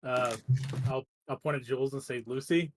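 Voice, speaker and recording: A man's voice: medium pitch, fairly even intonation, a little hiss or hum. Hesitant man playing a tabletop game. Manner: hesitant, halting. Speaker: tabletop roleplaying player. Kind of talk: casual improvised roleplay.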